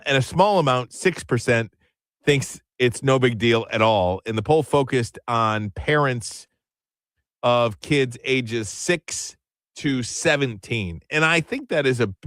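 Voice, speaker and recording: A man's voice: medium pitch, fairly bright tone, dry recording, studio quality. A man hosting an afternoon radio talk show, chatty and self-deprecating. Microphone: studio microphone.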